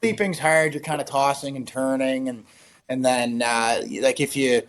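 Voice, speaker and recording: A young man with a slightly raspy voice, talking casually as an interview guest, rambling with lots of fillers and frequent laughs. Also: mid-range voice, steady tone, moderate pace. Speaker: guest, ultrarunner.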